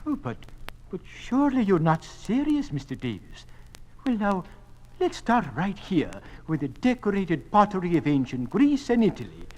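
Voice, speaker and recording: A man's voice: high voice, huge pitch swings, moderate pace, mellow, heavily acted, poor audio. An actor playing a timid, genteel, flustered male museum curator in a radio drama, hesitant and stammering. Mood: eager, flustered. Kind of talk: 1950s radio drama acting.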